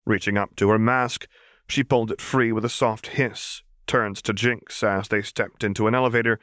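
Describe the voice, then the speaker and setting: A man's voice: lowish voice, steady tone, moderate pace, fairly bright tone, no echo, studio quality. A man reading dramatic fiction aloud as an audiobook narrator.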